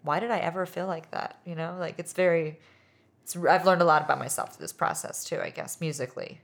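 A woman in her thirties with a deep, low-pitched voice chats as a musician guest in an interview. She rambles loosely, leaning on "like" and "you know" as she goes. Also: steady tone, brisk, creaky. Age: 30s. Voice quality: low singing voice. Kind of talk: casual interview chat.

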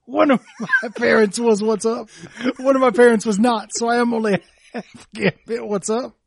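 A man's voice: extremely high pitch, fairly even intonation, moderate pace, gravelly, a little hiss or hum, pitched above the performer's own voice. A man improvising a male game company executive who tacks a catchphrase onto every sentence. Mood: deadpan. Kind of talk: improvised comedy sketch.

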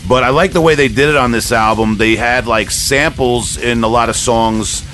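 A man's voice: medium pitch, moderate pace, a bit bright. A man hosting a casual music podcast, talking in a rambling, enthusiastic fan's way. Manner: rambling, enthusiastic fan talk. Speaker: podcast host. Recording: music playing behind the voice.

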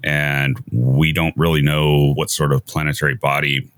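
A man hosting a conversational science interview in a measured, musing way. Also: extremely low pitch, level tone, fairly bright tone. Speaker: podcast host interviewing a guest.

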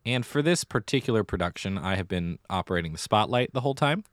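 A man's voice: lowish voice, animated, fast talker, no room sound, studio quality. A man co-hosting a casual podcast, chatting and telling stories in a theatrical way. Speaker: podcast co-host; middle school theater director. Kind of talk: casual conversational podcast chat.

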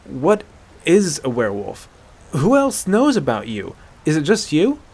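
A man's voice: fairly high pitch, huge pitch swings, measured pace, darkish tone, husky, pitched above the performer's own voice, exaggerated voice. A man voicing a stubborn, sarcastic young hunter in a dramatic fantasy story, blunt and incredulous in his challenges. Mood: challenging, sarcastic. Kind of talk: dramatic fantasy audiobook character voice.

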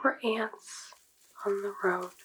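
An actress playing a haunted young woman murmuring dreamlike visions in a breathy whisper, in an audio drama. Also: medium pitch, steady tone, over music, lightly acted, low-quality recording. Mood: trancelike. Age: young adult. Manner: hushed, slow, trancelike. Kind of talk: whispered inner monologue.

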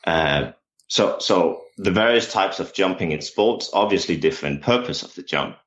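A man's voice: low-pitched, animated, normal speed, a bit bright. A man with a Danish accent, a coach answering interview questions in English, hesitant and full of fillers and restarts. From Denmark. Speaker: jumps coach and lecturer. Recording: dull lo-fi sound.

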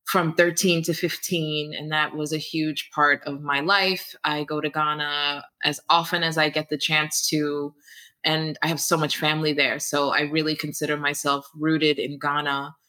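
Guest author: woman, low pitch, crisp bright tone, relaxed rambling answers with ums. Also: normal speed. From Queens, New York, United States. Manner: friendly, laughs easily, many ums and restarts. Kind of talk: conversational interview.

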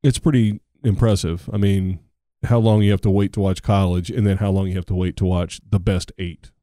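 A man's voice: low-pitched, fairly even intonation, brisk, mellow, no room sound, clean studio sound. A middle-aged American man co-hosting a podcast in casual chat, joking, sarcastic and rambling. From United States. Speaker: podcast co-host.

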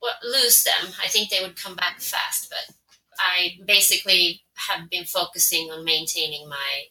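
A woman in her forties with a Swedish accent speaks in a bright, sharp-edged voice with slightly low pitch. She answers interview questions thoughtfully, pausing to find her words, with English as a second language. The room around her rings with echo. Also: fairly even intonation, a little hiss or hum. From Sweden. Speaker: guest, translator. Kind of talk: conversational interview. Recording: roomy echo.

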